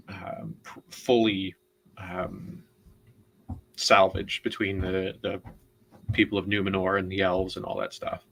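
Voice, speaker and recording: A man co-hosting a casual literary discussion, explaining things in a halting, deliberate way and often repeating words. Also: low voice, creaky, fairly bright tone. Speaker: co-host, literary commentator.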